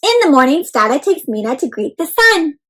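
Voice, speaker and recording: High-pitched woman reading interactively to kids. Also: brisk. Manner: expressive, asks the listeners questions, exclaims and adds sound effects. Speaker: children's read-aloud storyteller.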